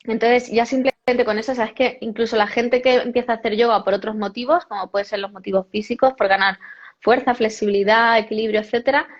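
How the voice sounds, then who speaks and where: A woman's voice: on the high side, steady tone, fast talker. A woman, a yoga cartoonist, chatting casually as an interview guest, correcting herself often and laughing now and then. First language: Spanish. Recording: dull lo-fi audio.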